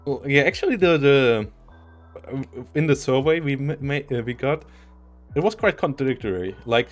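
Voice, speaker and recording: A man's voice: medium pitch, lively intonation, normal speed, soft background music. A man casting a live strategy game match, analytical and chatty, laughing often. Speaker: esports caster.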